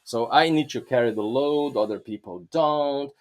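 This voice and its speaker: A man, co-hosting a podcast. He explains ideas patiently, pausing, restarting his sentences and leaning on fillers as he works out his thoughts. His voice sits at a middling pitch. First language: Portuguese. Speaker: podcast co-host, Enneagram teacher. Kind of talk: conversational teaching talk.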